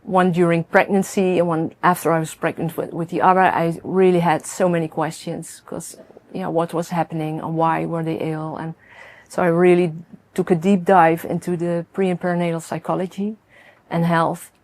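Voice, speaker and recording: A Dutch woman in her fifties, a midwife, telling her own story earnestly, with pauses, fillers and restarts. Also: fairly low pitch, flat delivery, a little mellow. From Netherlands. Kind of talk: personal testimony.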